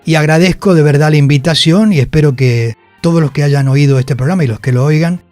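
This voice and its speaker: Male interview guest, Buenos Aires accent, slightly high voice, courteous conversational delivery, dry studio sound. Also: fast talker, a little mellow, no echo, soft background music. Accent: Buenos Aires Argentine. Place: radio studio.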